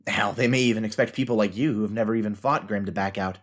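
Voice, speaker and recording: A man's voice: on the low side, fast, fairly bright tone. A man playing a thoughtful, self-doubting teenage novice fighter in an audio drama, earnestly reasoning things out. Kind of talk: fan audio drama acting.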